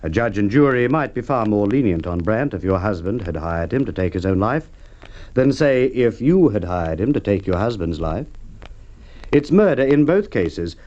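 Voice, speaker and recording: A muffled, faintly noisy vintage radio recording of a shrewd police inspector. His low voice moves in big expressive swings. He is polite, formal and deliberate, always probing. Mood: insinuating, pointed. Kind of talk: Golden Age radio drama acting.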